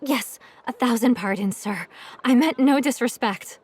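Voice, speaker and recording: A woman's voice: fairly high pitch, measured pace, darkish tone, slightly rough. A woman playing a deferential, easily flustered villager in an audio drama, apologetic and eager to please. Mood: flustered, pleading.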